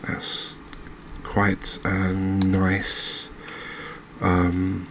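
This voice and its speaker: A man giving soft-spoken, unhurried ASMR commentary as he plays a game, musing aloud to his viewers. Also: low-pitched, monotone, a little hiss or hum. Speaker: game streamer, host.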